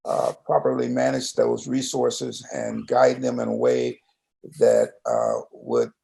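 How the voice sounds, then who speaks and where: A man moderating a conversational panel, rephrasing questions in a circling, halting way. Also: fairly low pitch, sing-song, vocal fry, dark tone. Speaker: discussion host, moderator.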